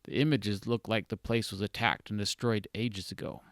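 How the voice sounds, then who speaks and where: A man's voice: on the low side, steady tone, normal speed, dry recording, studio quality, natural voice. A man voicing a thoughtful young male explorer in a storybook, explaining things as they reason aloud.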